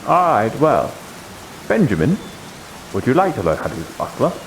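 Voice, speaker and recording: Fantasy roleplay combat instructor, male, played by a man, medium pitch, patient and cautioning, faint hiss on game chat. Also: huge pitch swings, normal speed, creaky. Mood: patient, instructive. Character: one-eyed male fighter with big shield, patient combat instructor.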